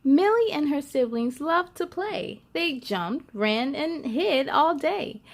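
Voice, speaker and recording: A woman hosting a children's storytime, reading a picture book aloud in an excited, expressive, giggly way. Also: high-pitched, takes it slowly.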